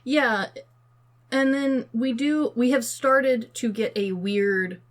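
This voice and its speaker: A woman co-hosting a podcast in casual chat, talking informally and haltingly as she thinks aloud. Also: a little high. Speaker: podcast co-host. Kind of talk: casual chat about films and games.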